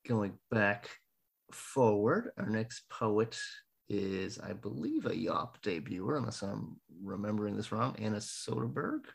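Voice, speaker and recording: Gravelly low-voiced man hosting readings. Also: sing-song. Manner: chatty, halting, many fillers and restarts. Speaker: open mic host. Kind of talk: casual hosting of a poetry reading.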